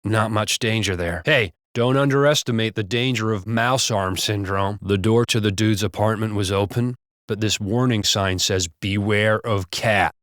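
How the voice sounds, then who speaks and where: A man's voice: on the low side, moderate pace, a bit bright, no echo, natural voice. Man playing a blunt, foul-mouthed American cop, close and dry. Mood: casual banter. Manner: casual, blunt, profane wisecracking. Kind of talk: video game character banter.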